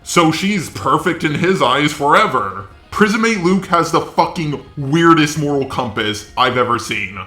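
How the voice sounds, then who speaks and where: A man's voice: fairly high pitch, expressive, a little slow, hardly any pauses, bright, forceful tone, roomy sound. A man delivering blunt, sweary, opinionated commentary as a video host, often asking rhetorical questions. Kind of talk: opinionated drama commentary, talking to camera audience.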